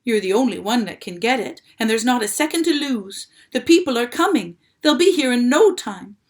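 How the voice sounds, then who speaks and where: A woman reading aloud and voicing a frantic young male pig who pleads in a high, loud, desperate voice. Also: expressive, normal speed, close to an everyday voice.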